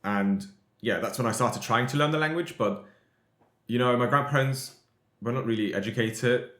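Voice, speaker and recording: A man's voice: mid-range voice, normal speed, fairly bright tone, echoey room. A man hosting a podcast in casual, conversational talk. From Europe. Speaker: podcast host.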